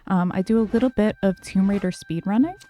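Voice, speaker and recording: A woman livestreaming a game, talking calmly and softly to her chat with lots of fillers. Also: medium pitch, fast. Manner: soft, calm, many fillers. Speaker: streamer.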